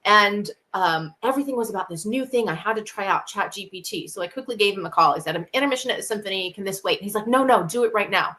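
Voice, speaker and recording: A woman's voice: mid-range voice, expressive, fast, few pauses, pushed and bright. A woman speaking as a guest expert in a conversational interview, enthusiastic and explanatory. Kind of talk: conversational interview answers with anecdotes.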